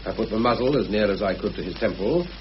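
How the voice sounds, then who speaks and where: A man's voice: fairly low pitch, animated, fast, close to an everyday voice. An actor playing a stubborn, defensive male murder suspect in a radio play, giving clipped answers. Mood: terse, resigned. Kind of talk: radio murder mystery acting. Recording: muffled, lightly hissy old radio recording.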